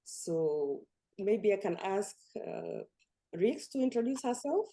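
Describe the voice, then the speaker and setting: A woman's voice: medium pitch, very animated, slow pace, dark tone. A woman from Kenya hosting an online coaching webinar, speaking with frequent hesitations. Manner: hesitant, many fillers. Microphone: video call.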